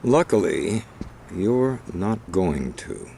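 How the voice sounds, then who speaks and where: A very deep, gravelly crime boss, scheming and contemptuous. He drawls slowly, pitch swinging wide as he toys with people. Every sarcastic line carries a quiet threat. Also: very animated, slight background noise, exaggerated voice. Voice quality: gravelly, mocking, slow menace. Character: sly, sneering male crime boss. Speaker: gang's scheming partner. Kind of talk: video game cutscene acting.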